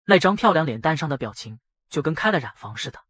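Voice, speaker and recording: A man voicing a brash young factory boss who narrates his own dramatic motion-comic story in a husky voice. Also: on the high side, big pitch swings, quick pace, a bit bright, no echo, clean studio sound. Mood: dramatic narrating. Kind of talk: dramatic first-person scripted narration.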